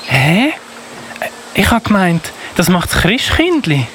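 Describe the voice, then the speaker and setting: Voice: mid-range voice, sing-song, slow pace, fairly bright tone. A performer with a Swiss accent playing a curious, naive child investigator in a Christmas radio play. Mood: puzzled. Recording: noticeable buzzy background noise.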